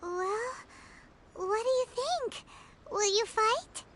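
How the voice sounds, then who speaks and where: A little childlike game companion, curious and excitable. The voice is squeaky and very high, with big swings in pitch. Faint ambient noise sits behind it. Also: female voice, slow, full character voice. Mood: curious, uneasy. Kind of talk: video game voice acting.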